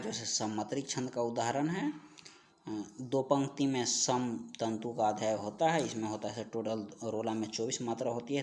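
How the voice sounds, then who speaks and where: Male teacher, medium pitch, didactic, question-and-answer lecture, repetitive emphasis. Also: a little mellow. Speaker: exam-prep teacher. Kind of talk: instructional lecture, reading out questions and explaining answers.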